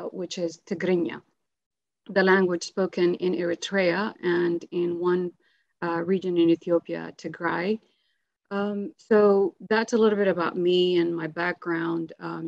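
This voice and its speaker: A woman's voice: on the low side, a little mellow, no room sound. Earnest woman speaking on a video call. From Sudan. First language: Arabic. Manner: earnest, many fillers. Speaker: panelist. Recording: dry, dull video-call audio.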